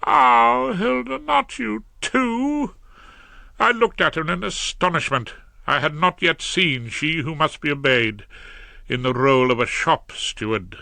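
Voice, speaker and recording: A man narrates a comic story in the first person, dropping wry, ironic asides. His voice is forceful and bright with slightly raised pitch, recorded dry and close in a studio. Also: expressive, moderate pace, no echo. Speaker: audiobook narrator.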